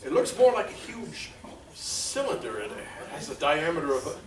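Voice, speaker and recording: A man playing an awed, eager 1930s radio field reporter, halting as he describes things in a stage drama. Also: fairly high pitch, very animated, crowd noise. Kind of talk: 1930s radio commentary acting. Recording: noisy, distant, echoey stage recording.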